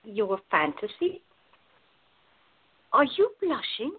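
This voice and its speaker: A woman acting a tender, protective woman in love, quietly insecure, in a radio play. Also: fairly high pitch, sing-song, takes it slowly, slightly rough, phone-quality sound, heavily acted. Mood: tender, unsure.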